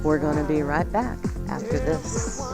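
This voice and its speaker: A woman's voice: low-pitched, expressive, unhurried, gravelly, over loud music. A woman hosting a talk radio show, presenting segments and reading sponsor copy.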